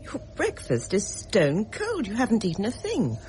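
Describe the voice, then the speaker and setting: An actress playing a protective, brisk mother in a radio drama, concerned and gently scolding. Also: medium pitch, wildly swooping pitch, slight background noise. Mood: concerned, fussing.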